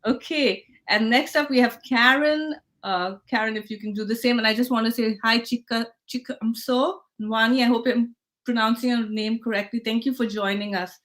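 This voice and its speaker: A woman hosts a casual livestream from a video call, her voice a little high. She sounds upbeat and encouraging and often stops to restart a sentence. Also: fairly even intonation, moderate pace, a bit bright. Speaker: host of a livestreamed hackathon session.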